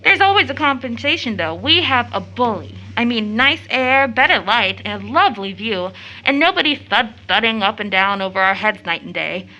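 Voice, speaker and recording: Bright, high-voiced chattering schoolgirl. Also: lively intonation, moderate pace, highs cut off. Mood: bubbly, chatty. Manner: chatty, girlish, earnest. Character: eager, chattering, tender-hearted teenage schoolgirl daughter. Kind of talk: stage-play audio drama acting.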